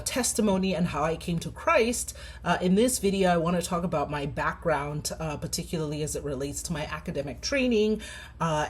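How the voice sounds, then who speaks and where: Zimbabwean-born woman in her 40s, low husky voice, telling her life story, lots of uhs. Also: a little mellow. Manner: reflective, many ums and uhs. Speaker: clinical psychologist. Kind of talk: personal story to camera.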